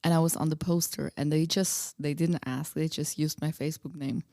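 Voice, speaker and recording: A woman's voice: deep voice, steady tone, fairly quick, mellow, dry recording, clean studio sound. A woman from Austria, chatting informally and a little hesitantly as a guest in a casual interview. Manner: laughs easily, hesitant and informal.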